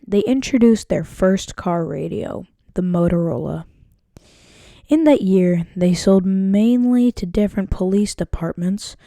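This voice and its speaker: A woman's voice: medium pitch, dark tone, no room sound, studio quality. A woman student presenter reading scripted documentary narration, occasionally stumbling over a word.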